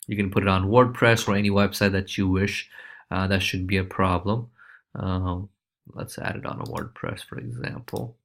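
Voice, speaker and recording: Casting: male tutorial presenter, lowish voice, informal and loose, walking viewers through on-screen steps. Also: moderate pace, creaky, a bit bright. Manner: informal, loose, repeats check-in tags like okay and right. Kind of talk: casual screencast walkthrough.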